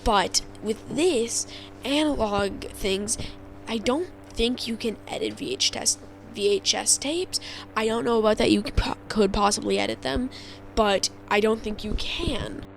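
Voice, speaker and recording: A woman narrating a casual video explainer in a halting, rambling, conversational way. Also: on the high side, vocal fry, slight background noise, rough recording.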